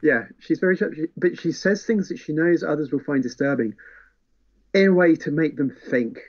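A man's voice: fairly high pitch, normal speed, highs cut off. A man co-hosting a casual book chat, retelling plots and acting out characters' lines as he explains. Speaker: podcast co-host.

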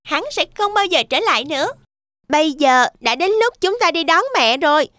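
A woman's voice: high voice, animated, normal speed, sharp tone. A woman voicing a small, clever, earnest boy piglet in a children's cartoon. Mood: earnest, cheerful. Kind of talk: animated fairy tale dub.

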